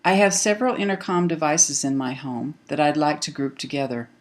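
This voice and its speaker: A woman reads a scripted software tutorial, explaining each step clearly as an instructional narrator. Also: deep voice, a little fast.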